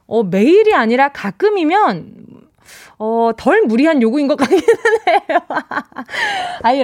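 A woman's voice: sits high, no room sound, clean studio sound. A woman hosting a live radio show, chatting playfully with listeners, reading their messages and laughing often, with a trace of a Busan accent. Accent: Busan dialect. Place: radio studio. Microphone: studio microphone.

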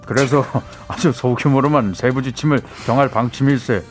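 A man's voice: mid-range voice, steady tone, moderate pace, faint music behind. A man giving a radio news briefing with mock-archaic, old-fashioned speech endings and a chuckling manner. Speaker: news segment guest on a radio show.

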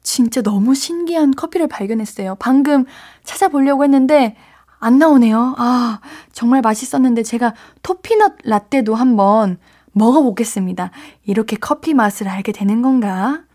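A woman's voice: fairly high pitch, normal speed, warm tone. A woman hosting a late-night radio show, chatting and reacting to listener letters with frequent gasps and exclamations, speaking into a studio microphone. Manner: bubbly, gasps and exclaims often. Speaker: radio DJ host.

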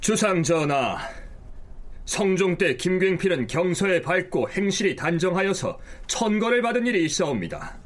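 A high, lively court advisor. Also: male voice, moderate pace. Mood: formal. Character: male royal scholar-official advising the king. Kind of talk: historical drama reenactment.

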